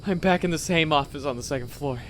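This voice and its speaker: An actor playing a frightened podcaster hiding from a creature, stammering as he hushedly recounts his escape. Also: fairly high pitch, fast talker, slight background noise, lightly acted. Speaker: podcaster character. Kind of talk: radio drama acting.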